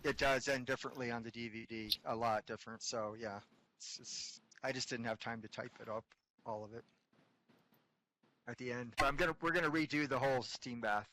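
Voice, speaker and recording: A young man chatting casually about the script he typed up, easygoing and quick to laugh. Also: medium pitch, bright, forceful tone, poor audio. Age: young adult. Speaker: script typist and reader. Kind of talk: casual chat.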